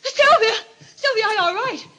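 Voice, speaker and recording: High-pitched, terrified young radio heroine. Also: female voice, expressive, normal speed, heavy background noise. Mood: rising panic. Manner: breathless, stammering, melodramatic. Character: terrified young woman recounting a supernatural murder. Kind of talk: old-time radio mystery acting.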